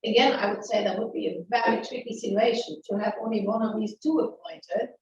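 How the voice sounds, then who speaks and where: A middle-aged woman with a German accent gives a frank, lively webinar presentation, often restarting sentences and asking rhetorical questions. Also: mid-range voice, fairly quick. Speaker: webinar presenter, maritime lawyer. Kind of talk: conversational legal webinar presentation. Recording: very echoey, distant, muffled webinar audio.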